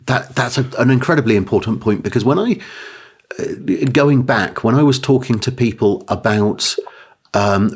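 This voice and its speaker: A man hosting an interview with a booming voice, rambling a little and repeating words as he goes. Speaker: podcast host. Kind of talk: casual interview chat.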